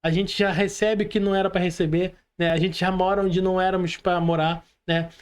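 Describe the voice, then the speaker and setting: A man's voice: high-pitched, little pitch movement, fairly quick, clean tone. A Brazilian man talking motivationally on a podcast in an earnest, colloquial, exhorting way, often checking that the listener follows.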